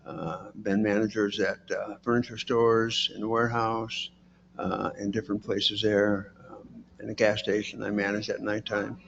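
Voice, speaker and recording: A man with a soft voice introducing himself as a board candidate, halting and full of fillers. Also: fairly low pitch, flat delivery, vocal fry, dull audio, a little hiss or hum. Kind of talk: self-introduction speech.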